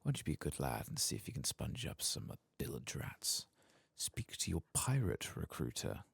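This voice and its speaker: Guy putting on a jolly old pirate from a game, soft but hearty dialect, low and gravelly. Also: sing-song, normal speed, no echo, heavily acted. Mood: jovial. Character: jovial old pirate freebooter with a parrot.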